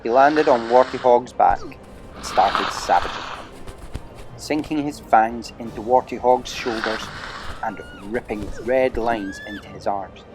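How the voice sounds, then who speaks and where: A man's voice: medium pitch, measured pace, over music, poor audio. A man narrating an adventure audiobook as a dramatic, theatrical storyteller with emphatic delivery.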